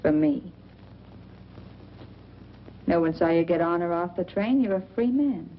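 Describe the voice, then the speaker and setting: A woman's voice: on the low side, expressive, unhurried. An actress playing a shrewd, world-weary woman drawn to bad men, knowing and sardonic in a 1940s noir style. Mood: cool, knowing. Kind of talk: 1940s film noir acting. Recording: dull, noisy old film soundtrack.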